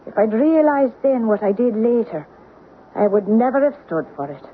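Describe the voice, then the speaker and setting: An actress playing an older Irish woman who gravely tells family secrets in deliberate, lilting radio-drama storytelling. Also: fairly high pitch, sing-song. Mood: sorrowful. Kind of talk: old-time radio drama acting. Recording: tinny, noisy old radio broadcast.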